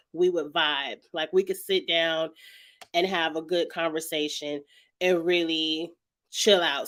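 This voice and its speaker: A woman hosting a casual review vlog, chatty and emphatic, her voice slightly raspy and stuffed up from a cold. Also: lowish voice, bright, forceful tone. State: lingering cold with sinus congestion, clearing throat often. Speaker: YouTube show host reviewing reality TV. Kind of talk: casual vlog commentary to viewers.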